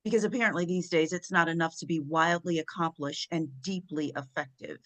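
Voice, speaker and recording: A woman playing an imperious, sharp-tongued First Lady, haughty, biting and commanding, in a comedy play table read. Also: low-pitched, fairly even intonation, brisk, natural voice. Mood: indignant, proud.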